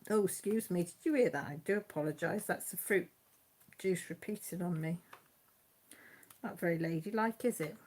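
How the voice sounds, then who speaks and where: A woman's voice: lowish voice, normal speed, long pauses, a little mellow. Chatty Welsh woman with a Radnorshire accent. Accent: Mid Wales (Radnorshire). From Wales. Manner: chatty, homely, laughs often. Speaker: YouTube channel host. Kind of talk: casual live-stream chat, reading comments.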